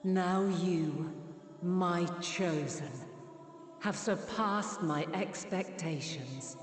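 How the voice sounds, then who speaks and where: In a vast reverberant space over music, an ancient goddess of a fantasy game. Her voice is low and solemn, with stately, unhurried phrasing and a weary motherly gravity. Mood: grave, reverent. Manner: stately, archaic, deliberate phrasing. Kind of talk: dramatic fantasy game acting. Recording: reverberant hall, music underneath.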